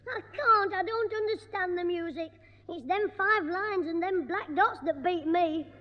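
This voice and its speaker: Cocky young schoolboy who talks back; very high, dull, noisy old broadcast. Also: male voice, measured pace. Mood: cheeky. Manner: impudent, wisecracking. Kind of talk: 1960s radio sitcom acting.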